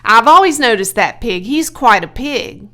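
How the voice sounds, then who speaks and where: A woman's voice: on the high side, lively intonation, normal speed, fairly bright tone. A woman voicing an eager, agreeable male farm hand in a storybook, with a simple, emphatic manner. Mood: eager agreement.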